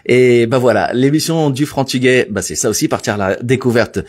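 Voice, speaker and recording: A man hosting a live broadcast with a slightly husky voice. He talks in a relaxed, chatty way, with plenty of fillers between his thoughts. His pitch sits in an ordinary middle range. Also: brisk. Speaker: host of a live magazine show. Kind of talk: casual live broadcast chat.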